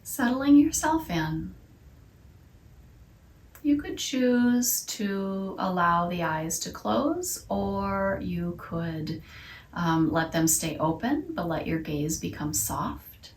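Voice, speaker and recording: A woman leading a guided meditation as a yoga teacher, talking softly and soothingly and sometimes sinking into a whisper. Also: fairly low pitch, lively intonation, reverberant, recorded from a distance, slight background noise. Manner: slow, soft and soothing, drifting into whispers.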